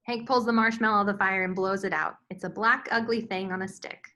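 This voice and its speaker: A woman's voice: medium pitch, steady tone, quick pace, sharp tone. A woman reading screen directions as the narrator, in scripted narration style.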